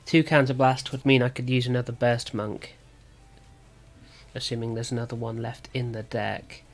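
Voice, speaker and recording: Male gaming video host, medium pitch, sore and slightly rough voice, casual play-by-play, reasoning through moves aloud. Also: little pitch movement, moderate pace, faint music behind. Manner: thinking aloud. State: sore throat. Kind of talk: casual card game commentary.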